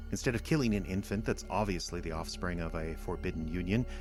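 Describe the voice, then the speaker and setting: An actor playing a cold, patient, condescending alien invader in an audio drama, lecturing in a measured, smug way with contempt for humanity. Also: male voice, on the low side, close to an everyday voice. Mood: contemptuous, lecturing. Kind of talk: audio drama villain monologue.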